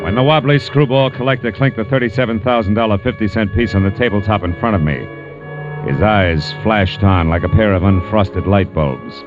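An actor with an American accent playing a wry, world-weary private detective in an old radio noir drama, speaking in a terse, sardonic way. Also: male voice, fairly low pitch, a little fast, over music, lightly acted. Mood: wry narration. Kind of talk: 1940s-50s radio noir narration and acting. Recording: dull vintage radio broadcast recording.

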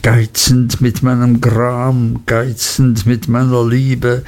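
A man's voice: medium pitch, flat delivery, slow pace, a little mellow, lightly acted. A man voicing a despairing, guilt-ridden older male lawyer who talks in an anguished, self-reproaching way.

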